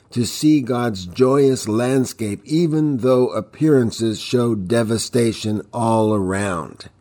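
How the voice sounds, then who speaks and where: A man's voice: on the low side, a little mellow. A man delivering a scripted devotional teaching, exhorting listeners with reflective rhetorical questions.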